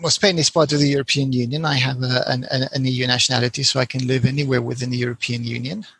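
Gravelly, hesitant man, interview guest. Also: medium pitch, flat delivery, quick pace, fairly bright tone, dry recording. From Colombia and England. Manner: hesitant, many repeated words. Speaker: guest author. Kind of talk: casual interview answers.

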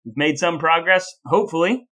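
A man's voice: on the high side, a little fast, sharp tone. An American man co-hosting a podcast in a conversational style. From United States. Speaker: podcast co-host.

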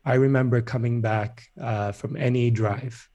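A man's voice: medium pitch, fairly even intonation, measured pace, mellow, lo-fi sound. A man from Ethiopia speaking as a startup founder and guest in a conversational podcast interview.

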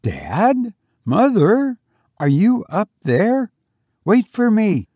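A man's voice: high-pitched, wildly swooping pitch, very slow, dark tone, no echo, voice pushed up, heavily acted. A man voicing a youthful college-age son in a story reading, earnest and apologetic. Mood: calling out. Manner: clear, earnest.

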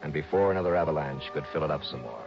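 An American actor playing a tough, determined investigator in a 1960s radio detective drama, terse and brisk. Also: male voice, a bass voice, creaky. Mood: terse, suspenseful. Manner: terse, brisk, hard-boiled. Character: tough, determined male insurance investigator narrating his case. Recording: dull vintage radio recording with music.

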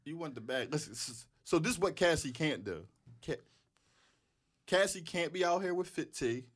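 Animated joking young man. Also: a little high, normal speed, breathy edge. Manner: animated, joking, slangy storytelling. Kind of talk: casual banter.

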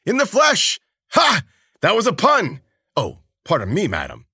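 A loud, jovial bear of a man who bellows his lines high and hearty, always half a laugh away. His voice leaps wildly up and down, bright and forceful, recorded dry and close. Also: wildly swooping pitch, unhurried, breathy edge, no echo, exaggerated voice. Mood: jovial, roaring. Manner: booming laughter, loud and teasing. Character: boisterous hairy male healer, jovial drinker. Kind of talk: audiobook character voice.

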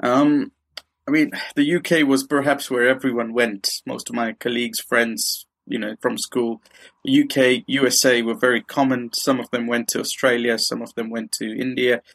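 A man from Kenya, a dentist and podcast guest, telling his story haltingly with many pauses and restarts. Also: medium pitch, level tone, gravelly, a bit bright. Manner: hesitant, many fillers and restarts, laughs easily. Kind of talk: casual interview storytelling.

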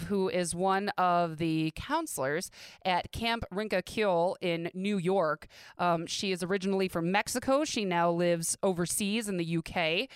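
A woman's voice: on the low side, a bit bright, smooth, dry recording. A middle-aged woman from the American Midwest with an American accent, hosting a podcast in a candid, casual, conversational way. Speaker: podcast host. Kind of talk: casual personal podcast monologue. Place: inside a home closet.

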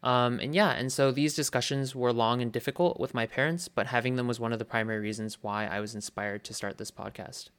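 A man's voice: medium pitch, a little fast, few pauses, clean tone. A man in his twenties, co-hosting a podcast remotely, talking casually with plenty of ums. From Colorado. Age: 20s. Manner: relaxed, many ums. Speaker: podcast co-host. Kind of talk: casual podcast chat, partly read. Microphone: remote home mic.

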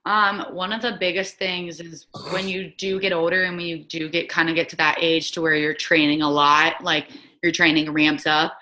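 A woman's voice: fairly low pitch, little pitch movement, moderate pace, hardly any pauses, pushed and bright, poor audio. A young woman in her twenties, a former soccer player, chatting casually as a guest over a video call, with lots of "like" fillers. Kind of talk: casual remote interview.

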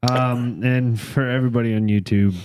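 A male podcast host chatting casually, halting and restarting as he talks. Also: mid-range voice, fairly even intonation, darkish tone, a little hiss or hum. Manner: laid-back, halting with restarts. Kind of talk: casual chat.

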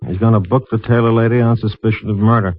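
A man's voice: fairly low pitch, fairly even intonation, quick pace, natural voice. A man playing a matter-of-fact detective in a 1950s radio drama, with casual, clipped delivery. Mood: breezy, matter-of-fact. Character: breezy, matter-of-fact male police detective, grown man. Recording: very muffled, noisy 1950 radio recording.

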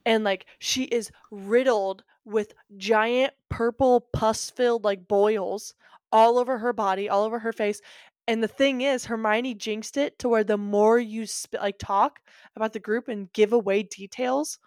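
A woman co-hosting a podcast, chatting casually with many fillers and frequent laughs, in a slightly deep voice. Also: fairly even intonation, measured pace, darkish tone, no echo, studio quality. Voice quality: rambling, filler-heavy, laughs often; deeper voice. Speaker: podcast co-host. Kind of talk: casual chat.

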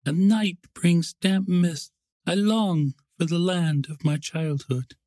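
A man voicing a wistful, gentle female nature spirit in a storybook, with an earnest, yearning, poetic manner. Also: fairly high pitch, steady tone, slow pace, no echo, clean studio sound, pitched above the performer's own voice. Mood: melancholy longing.

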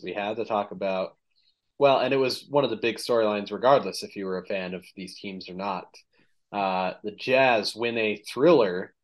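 A man hosting a casual sports podcast, recapping games with plenty of ums and filler, his voice a little worn. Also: fairly low pitch. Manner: relaxed, filler-heavy recap of game stats. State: slightly losing his voice. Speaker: podcast host.

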